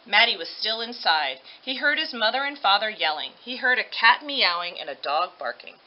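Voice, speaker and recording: A woman reading a children's story aloud with expressive narration. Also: mid-range voice, normal speed, slight background noise. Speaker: storybook reader. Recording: dull lo-fi audio.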